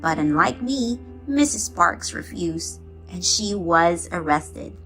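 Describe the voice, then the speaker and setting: Woman, children's read-aloud narration, slow pace, expressive delivery, soft music behind. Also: on the low side, poor audio. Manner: expressive, acts out the dialogue. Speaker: storybook reader.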